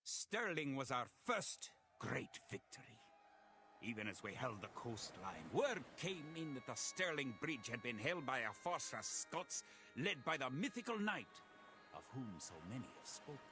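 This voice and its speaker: An actor playing a grave, resolute medieval Scottish soldier, narrating a war in a dramatic, theatrical storytelling style for a game cutscene. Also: male voice, medium pitch, very animated, unhurried. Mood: proud, stirring. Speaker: campaign narrator. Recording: music under the voice.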